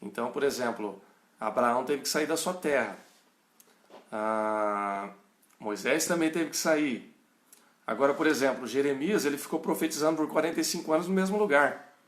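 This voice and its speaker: A man's voice: mid-range voice, moderate pace, echoey room. Man with a caipira Brazilian accent. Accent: rural São Paulo and Minas Gerais Brazilian. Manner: conversational, checks in with listeners often. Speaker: pastor teaching a Bible study. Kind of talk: informal teaching answering viewers' questions.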